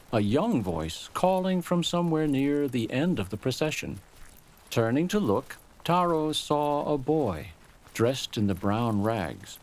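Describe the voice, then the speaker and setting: A man narrating a scripted story expressively, with deliberate, dramatic phrasing. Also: mid-range voice, a little mellow, low-quality recording.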